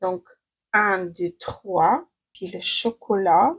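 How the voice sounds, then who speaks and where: A woman's voice: medium pitch, very animated, takes it slowly, creaky, husky. A young woman from Ukraine who speaks French with a Ukrainian accent, chatting through a makeup tutorial with hesitant fillers and restarts. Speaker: beauty vlogger.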